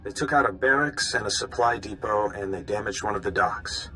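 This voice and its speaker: Low-voiced, earnest male informant. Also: a little fast, sharp tone, soft background music, poor audio. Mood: subdued, dutiful. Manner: hesitant, earnest, deferential. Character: anxious, conscientious young male undercover informant. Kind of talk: video game voice acting.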